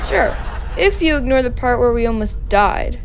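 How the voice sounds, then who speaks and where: A woman's voice: on the high side, expressive, normal speed, creaky, noisy background. A woman playing a blunt, snarky spaceship crew member in a sci-fi comedy audio drama with a dry manner.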